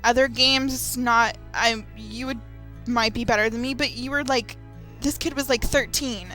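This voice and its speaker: A woman in her twenties speaking casually and unscripted to viewers, in an earnest, emphatic, halting way. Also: a little high, steady tone, sharp tone. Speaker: gamer, video maker. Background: background music.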